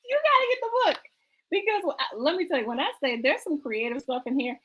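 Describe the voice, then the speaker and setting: A woman's voice: sits high, very animated, moderate pace, fairly bright tone, poor audio. A woman hosting a book talk show, speaking conversationally and enthusiastically in an emphatic, exhorting way that repeats phrases for effect.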